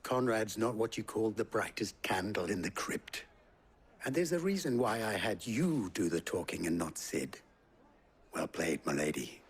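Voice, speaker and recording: Sly courtly fixer, lowish lively voice, florid, fantasy game, music underneath. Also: male voice, normal speed, slight background noise. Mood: amused, sly. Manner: florid, rhetorical. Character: smooth theatrical male fixer, sly, courtly and goading. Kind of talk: dramatic video game acting.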